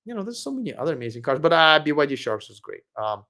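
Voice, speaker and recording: Male streamer host, slightly high voice, hoarse, chatty and meandering. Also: very animated, fast talker, sharp tone. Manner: rambling, many fillers and restarts. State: losing his voice. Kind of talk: casual live stream Q&A.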